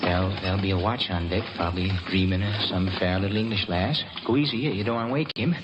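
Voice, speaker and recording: A man's voice: low voice, quick pace, very noisy, close to an everyday voice. Man acting gritty soldier muttering in muffled old radio. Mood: wary, hushed urging. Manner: talks aloud to himself, urging himself on. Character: gritty young soldier piloting a primitive submarine, muttering to himself.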